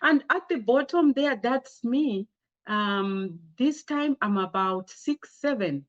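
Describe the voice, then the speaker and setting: A woman's voice: fairly high pitch, lively intonation, slow pace, dull audio. A woman with a Zambian accent giving an informal slide presentation, conversational and often putting rhetorical questions to her listeners. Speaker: speaker sharing her career story with girls.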